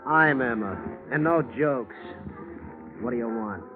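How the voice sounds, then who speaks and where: An actor playing a suspicious, blunt bartender with a terse, sardonic way of talking in a radio drama. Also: male voice, mid-range voice, measured pace, natural voice. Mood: gruff. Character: gruff, suspicious male bartender. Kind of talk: old-time radio drama acting. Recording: tinny vintage radio recording, loud music.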